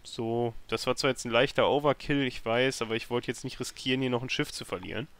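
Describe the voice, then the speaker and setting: A man's voice: medium pitch, fairly even intonation, brisk, fairly bright tone. A man hosting a let's play with casual, self-deprecating and grumbling commentary into a microphone. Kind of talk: casual video game commentary.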